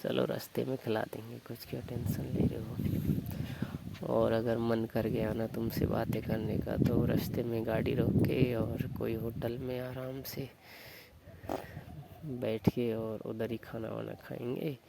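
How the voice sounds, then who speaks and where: Man acting gravelly, teasing young husband. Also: medium pitch, flat delivery, measured pace. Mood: reassuring, teasing. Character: newlywed young husband, mildly sulky, teasing. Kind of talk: staged phone-call prank skit, casual chat. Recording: noisy phone call recording.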